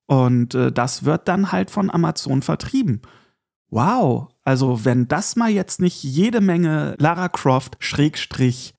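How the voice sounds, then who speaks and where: A man's voice: a little high, gravelly, a little mellow. A man hosting a podcast, chatting informally through news and opinions and addressing the listener directly. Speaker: podcast host. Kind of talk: casual solo news and review commentary.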